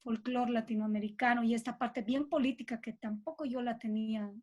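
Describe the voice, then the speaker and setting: A middle-aged Bolivian woman with a Bolivian accent talks reflectively and informally as an interviewed composer, thinking aloud in an expressive way. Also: on the high side, fairly quick.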